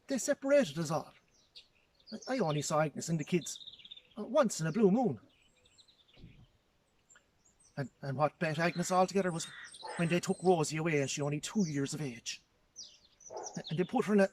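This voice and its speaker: A man with an Irish accent acting a poor, grieving nineteenth-century farmer, telling his story in plain, halting rustic speech. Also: on the high side, darkish tone, slight background noise, close to an everyday voice. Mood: anguished, bitter. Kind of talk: dramatic historical monologue.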